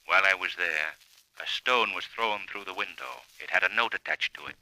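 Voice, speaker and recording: An actor playing a mysterious, mocking crime-fighter, composed and deliberate, in an old radio drama. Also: male voice, sits low, pushed and bright, full character voice, low-quality recording. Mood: cool, taunting. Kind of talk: 1940s radio drama acting.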